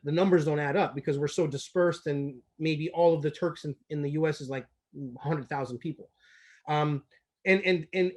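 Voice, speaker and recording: An enthusiastic middle-aged man from Turkey talks through a business idea in casual conversation. His voice sits a little high, and he often repeats words while he works out his thought. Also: darkish tone. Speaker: company CEO.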